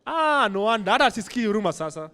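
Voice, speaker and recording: A man's voice: high voice, sing-song, rapid-fire, bright, forceful tone. A Kenyan man doing stand-up, chatting loosely with the crowd on a club stage. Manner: conversational, crowd-teasing, switching English and Swahili. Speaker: stand-up comedian. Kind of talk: stand-up set. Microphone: handheld stage mic.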